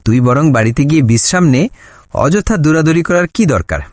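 Man as a sneering, animated village friend. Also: fairly high pitch, fast talker, no room sound, clean studio sound, close to an everyday voice. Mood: mocking. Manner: taunting, sneering. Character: mocking scornful young village friend. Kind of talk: Bengali cartoon folk-tale voice acting.

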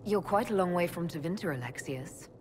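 A woman's voice: fairly low pitch, animated, fairly quick, a little mellow, close to an everyday voice. An actress playing a young elven mage heroine in a video game, composed, direct and skeptical in her questioning. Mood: cool, firm. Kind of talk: video game cutscene acting. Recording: hall reverb, faint background noise.

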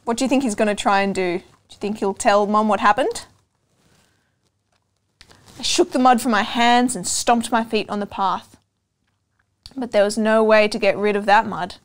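A young adult woman reading a children's storybook aloud, expressive and deliberate, pausing to put questions to listeners. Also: medium pitch. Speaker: storytime reader. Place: indoor room with couch and bookshelves.

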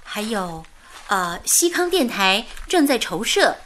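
An actress playing an affectionate female radio colleague who gently scolds her suitor in a radio drama. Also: mid-range voice, sing-song, slow, slight background noise. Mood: informative. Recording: radio drama with soft music behind.

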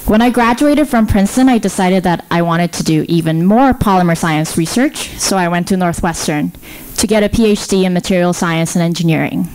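A woman in her thirties from South Korea and the Philippines, a research scientist giving a prepared talk into a handheld microphone, explaining in a measured way with rhetorical questions. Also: mid-range voice, a little mellow, no room sound, poor audio. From born in South Korea, grew up in the Philippines. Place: indoor stage.

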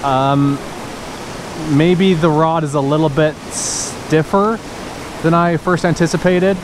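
A woman narrating casually to viewers while fishing a mountain creek, chatty and breaking into excited exclamations, recorded on a point-of-view action camera outdoors. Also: low voice. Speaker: fishing vlogger. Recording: loud rushing creek noise. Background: rushing stream water.

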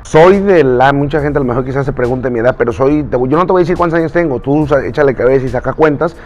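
A man's voice: mid-range voice, a little mellow, muffled recording. Chatty Mexican man talking to camera. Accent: Mexican Spanish. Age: 30s. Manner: chatty and earnest, gesturing with his hands, pausing to check notes. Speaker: YouTuber and voice impressionist. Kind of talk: casual talk to camera. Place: outdoors in a recreational park, standing against a pale wall.

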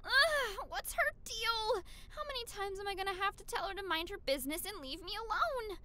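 A woman voicing an excitable, easily flustered new schoolgirl in a fan dub. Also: extremely high pitch, normal speed, heavily acted. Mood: exasperated.

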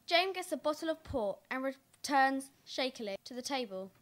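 A woman's voice: high voice, expressive, moderate pace. A young woman reading stage directions as scripted narration for a play. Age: young adult.

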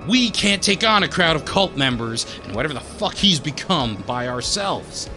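Reluctant horror-drama hero, sarcastic and blunt, highish voice with very lively swings, music underneath. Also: male voice, normal speed. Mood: exasperated. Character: cynical, foul-mouthed reluctant hero, brash and exasperated. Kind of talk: horror audio drama acting.

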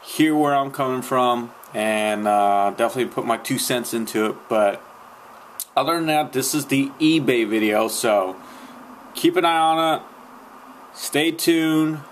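A man's voice: mid-range voice, unhurried, a little hiss or hum. A young man vlogging casually to a webcam in a small room at night, rambling with many fillers and restarts. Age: young adult. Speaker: sneaker vlogger. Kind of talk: casual vlog talk to camera. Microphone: webcam at close range.

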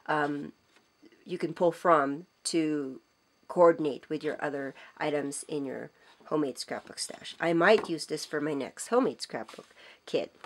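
A hoarse middle-aged woman with a cold, chatting casually and enthusiastically as she shows off craft supplies, with frequent ums and self-corrections. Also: low voice. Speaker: craft vlogger. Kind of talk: casual show-and-tell haul. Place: indoors at a craft table.

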